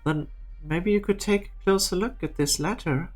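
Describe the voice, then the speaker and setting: A woman's voice: lowish voice, moderate pace, darkish tone, soft background music, natural voice. A woman voicing a cool, impatient, calculating character in a game fan dub. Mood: sly, probing.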